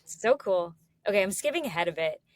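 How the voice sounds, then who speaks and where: A woman's voice: mid-range voice, animated, fairly quick, no echo, studio quality. A woman hosting an interview in a casual, conversational way, enthusiastic as she recaps and asks questions. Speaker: interview host.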